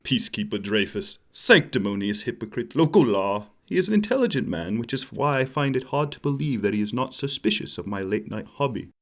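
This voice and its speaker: A man's voice: medium pitch, a little hiss or hum. A man playing an amoral, self-justifying innkeeper in an audio drama, with a theatrical, articulate, formal and wry delivery. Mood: scornful, brooding. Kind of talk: dramatic first-person monologue narration in an audio drama.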